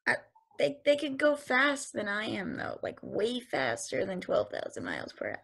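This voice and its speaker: A woman's voice: on the high side, huge pitch swings, moderate pace, vocal fry, a bit bright, full character voice. A woman voicing a naive, hopeful space probe, reading in a table read over a video call. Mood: hesitant. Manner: hesitant, then insistent.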